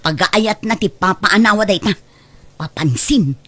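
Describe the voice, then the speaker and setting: A woman's voice: medium pitch, lively intonation, measured pace, fairly bright tone, no echo. A woman playing a short-tempered middle-aged mother in a radio drama, scolding and exasperated. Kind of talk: Ilocano radio drama acting.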